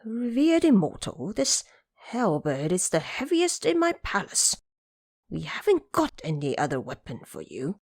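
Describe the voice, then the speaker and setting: An old, timid male dragon king of the sea in a storybook audio drama, voiced by a woman. He talks nervously, pleading and hedging, in a slow voice that rises and falls a lot. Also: lowish voice, huge pitch swings, a little mellow, slightly rough, exaggerated voice. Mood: anxious.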